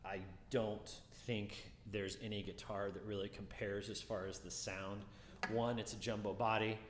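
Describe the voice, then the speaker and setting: A man with a low, deeper voice talks casually through a guitar review, relaxed and musing, often doubling back on his words. He sounds like he is in a roomy space with a long reverb tail and a faint hum of ambience behind him. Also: normal speed.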